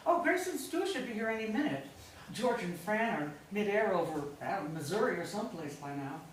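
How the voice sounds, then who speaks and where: An echoey, distant stage recording with faint noise. A woman plays a harried older wife and mother, insistent and exasperated but hopeful, with very lively intonation. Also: mid-range voice, fairly quick, lightly acted. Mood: busy, explaining. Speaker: actor in a staged reading. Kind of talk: live stage play reading. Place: indoor live staged reading.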